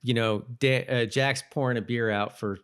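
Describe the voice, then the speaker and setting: A man co-hosting a podcast, chatting casually about TV in a halting, informal way. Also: medium pitch, steady tone, fairly bright tone, smooth. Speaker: podcast co-host. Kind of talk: casual chat about a TV episode.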